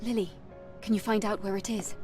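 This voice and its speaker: An actress playing a caring, troubled young female warrior in a video game, soft and earnest. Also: mid-range voice, steady tone, fairly quick, recorded from a distance, soft background music, close to an everyday voice. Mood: worried, earnest.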